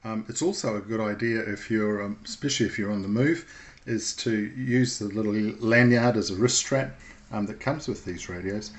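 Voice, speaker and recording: A man giving an informal instructional talk in a measured, explanatory way with hesitant pauses. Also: fairly low pitch.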